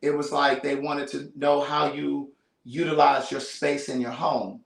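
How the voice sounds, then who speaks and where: A man hosting a casual chat, telling stories in an animated, playful, rambling way. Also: fairly high pitch, measured pace, gravelly. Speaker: host. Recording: echoey room.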